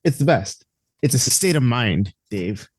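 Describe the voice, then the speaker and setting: A man's voice: medium pitch, very animated, a little slow, husky, no room sound, studio quality. A man co-hosting a casual podcast, talking eagerly and bluntly and cursing casually. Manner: enthusiastic, blunt, swears casually. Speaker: podcast co-host.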